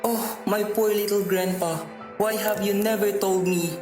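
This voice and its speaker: An echoey radio drama voice of a young boy, played by an actor, over faint music. He is a grandson of school age, innocent and curious, with a cheeky streak. The pitch is moderate. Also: fairly even intonation, measured pace, close to an everyday voice.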